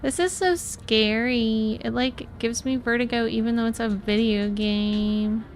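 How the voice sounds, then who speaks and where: Unhurried, high-voiced American woman streaming. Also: steady tone. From Florida, USA. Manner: chatty, greets and thanks viewers. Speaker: live game streamer and host. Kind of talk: casual live gameplay commentary, reading chat aloud.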